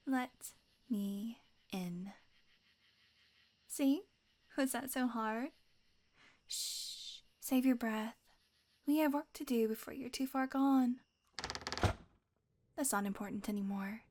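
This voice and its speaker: A young woman voicing an obsessive, possessive witch in an audio roleplay, talking sweetly and coaxingly and often dropping to a whisper. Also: fairly high pitch. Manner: sweet, coaxing, softly controlling, slips into whispers.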